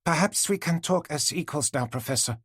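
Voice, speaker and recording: A man's voice: animated, a little fast, husky, dry recording, studio quality. A man voicing a petite young woman heckler who calls out shrilly and defiantly. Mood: intense. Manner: shrill, yelling accusations.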